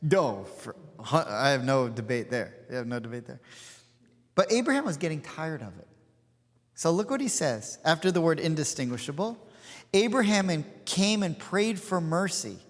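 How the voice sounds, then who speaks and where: A man's voice: a little high, big pitch swings, moderate pace. A man in his forties leading an informal study class, chatty and digressive, with plenty of asides and fillers. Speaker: rabbi teaching a class. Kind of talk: informal study-session teaching. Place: synagogue hall. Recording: hall with long reverb.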